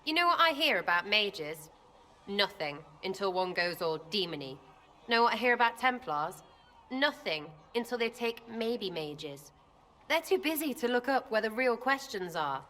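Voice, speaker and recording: A woman's voice: medium pitch, very animated, moderate pace, sharp tone. An actress playing a cheeky, blunt young female rogue archer in a video game, talking in choppy, slangy, irreverent bursts. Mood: flippant, blunt. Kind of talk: video game dialogue acting.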